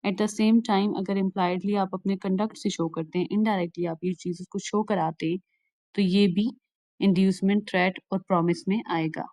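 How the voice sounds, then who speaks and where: A woman lecturer with a Pakistani accent and a soft, mellow tone at a medium pitch. She explains methodically, restating each point in other words. The recording is dry and close, with very little room sound. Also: steady tone, normal speed, no room sound, poor audio. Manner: methodical, repeats and rephrases points. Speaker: law lecturer. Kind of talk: explanatory lecture, switching between Urdu and English.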